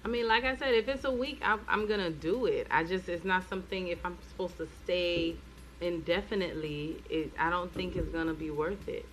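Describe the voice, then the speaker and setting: A woman co-hosting a relationship podcast in casual chat, answering in a measured, thoughtful way with hedges. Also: lowish voice, a bit bright, slight background noise, poor audio. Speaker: podcast co-host.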